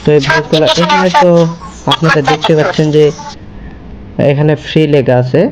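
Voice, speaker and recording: A man's voice: fairly high pitch, steady tone, a little fast, heavy background noise. A man hosting a casual step-by-step screen tutorial, linking his sentences repetitively with the same connective word. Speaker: tutorial host.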